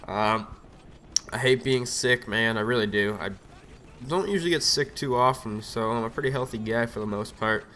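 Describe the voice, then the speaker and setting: A young man with a cold, his voice a bit raspy, talking loosely over his gameplay on a desk mic at home, rambling with lots of fillers. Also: mid-range voice, moderate pace, bright, forceful tone, slight background noise. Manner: rambling, filler-heavy, laid-back. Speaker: gameplay commentator. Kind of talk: casual gameplay commentary. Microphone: USB desk mic.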